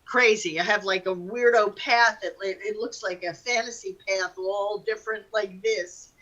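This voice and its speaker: A middle-aged woman chatting casually and telling personal stories in an animated, colloquial, exclamatory way. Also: mid-range voice, moderate pace, dull audio. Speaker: talk show participant.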